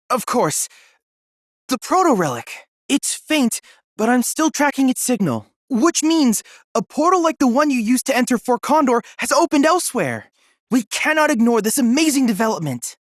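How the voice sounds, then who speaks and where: An actor voicing an earnest, excitable young android boy researcher in a video game, with precise, formal, polite diction. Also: medium pitch, dry recording, clean studio sound, natural voice. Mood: eager. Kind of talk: video game character voice lines.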